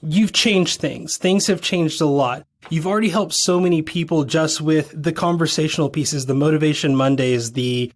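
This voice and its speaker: A podcast host with a slightly raspy voice, tired from lack of sleep, asking long, musing questions with enthusiasm. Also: mid-range voice, normal speed, few pauses. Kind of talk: conversational interview.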